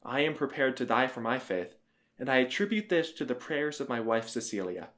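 A man's voice: medium pitch, animated, fairly quick, a bit bright, close to an everyday voice. A man playing a zealous, newly converted young Roman husband in an audio drama, earnest and emphatic. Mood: fervent.

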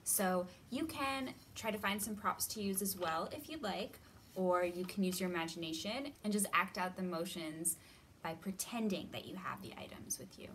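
A woman's voice: lowish voice, a bit bright, far from the mic, slight background noise. A woman in her thirties hosting storytime for children, giving simple instructions addressed to young listeners. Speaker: children's library storytime host. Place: indoor library room.

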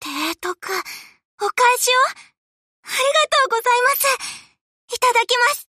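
A woman's voice: very high-pitched, lively intonation, moderate pace, pushed and bright, dry recording, studio quality, exaggerated voice. A woman voicing a polite, earnest young anime girl in a game, speaking courteously. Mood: grateful.

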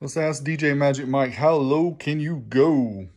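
A man's voice: on the high side, measured pace, music in the background. A man giving a casual, informal product review voice-over as the host, with a folksy way of talking. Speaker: host reviewing car audio amplifiers.

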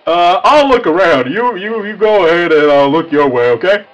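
A man plays an amiable cartoon wolf farmer, pitched high for a male voice. He sounds cheerful and folksy, teasing gently. The recording is slightly dull, with faint music underneath. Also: lively intonation, moderate pace. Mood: easygoing. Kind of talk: improvised cartoon roleplay.

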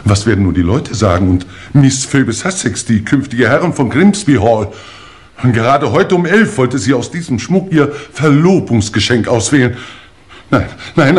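An actor playing a pompous, shaken English lord in a 1950s German radio crime play, theatrical, with hesitant pauses. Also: male voice, medium pitch, big pitch swings, close to an everyday voice. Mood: dismayed, indignant. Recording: old noisy 1950s radio recording.

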